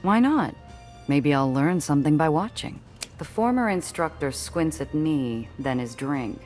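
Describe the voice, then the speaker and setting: A woman's voice: low-pitched, lively intonation, unhurried, darkish tone, faint music behind. A woman voicing a cool, observant heroine, narrating her own story in a scripted, wry way for a video game. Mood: wry, friendly. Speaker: narrator and protagonist.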